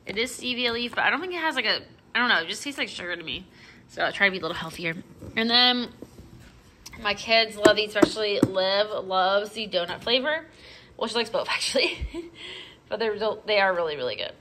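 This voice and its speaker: A woman's voice: medium pitch, bright, forceful tone. A young adult American woman casually chatting through a haul item by item, with informal rambling asides, recorded on a handheld phone in a home kitchen. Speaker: vlogger and mother showing a grocery haul. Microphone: handheld phone behind the camera.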